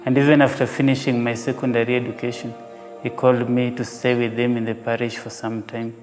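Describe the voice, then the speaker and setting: A young man from Kenya, giving an earnest, measured testimony about his vocation as a seminarian. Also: mid-range voice, little pitch movement, a little mellow. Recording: reverberant, with guitar music behind.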